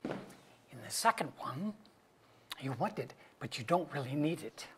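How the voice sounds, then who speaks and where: A man playing a wry, rambling middle-aged suburban husband in a staged play reading, with a husky voice and mock-grand delivery. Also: fairly high pitch, sing-song, unhurried, slight background noise. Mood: wry musing.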